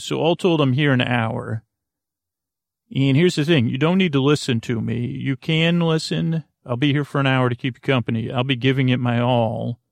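A man hosting a bedtime podcast in a rambling, halting monologue, often interrupting himself and wandering off, with a creaky voice. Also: mid-range voice, dry recording, studio quality. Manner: meandering, halting, self-interrupting, digressive. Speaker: podcast host.

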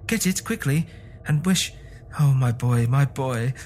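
A man's voice: a little high, animated, moderate pace, darkish tone, a little hiss or hum, voice pushed up, lightly acted. A man voicing a frantic, grieving old mother in a story, with breathless, urgent delivery. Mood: urgent pleading.

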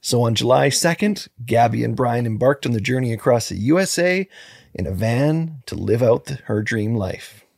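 Guy hosting a true crime podcast, gravelly husky voice, jokey and rambly. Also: mid-range voice, moderate pace, no echo, clean studio sound. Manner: relaxed, joking, rambling with fillers. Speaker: podcast co-host.

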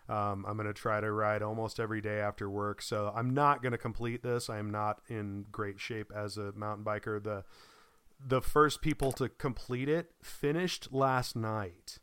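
A man's voice: on the low side, fairly even intonation, moderate pace, fairly bright tone, clear, resonant tone, no echo. A man from Boise chatting casually as a podcast co-host, rambling with many ums and restarts, still recovering from a lost voice and coughing. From Boise, Idaho. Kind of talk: casual chat.

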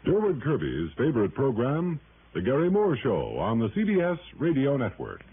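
A man's voice: mid-range voice, wildly swooping pitch, moderate pace. An American man announcing on 1960s network radio, reading scripted program credits. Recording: narrow old radio broadcast over music.

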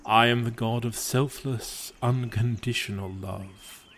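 A man's voice: medium pitch, steady tone, slow, fairly bright tone, a little hiss or hum. A man voicing a loyal male god of friendship who speaks in an earnest, soothing way. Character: devoted young male god, warm and loyal.

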